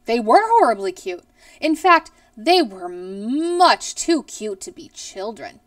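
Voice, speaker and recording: A woman in her thirties reading a children's story aloud expressively, in a deliberate storyteller's manner. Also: on the high side, very animated, no room sound, clean studio sound, far from the mic. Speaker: teacher reading aloud to pupils. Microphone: headset boom mic.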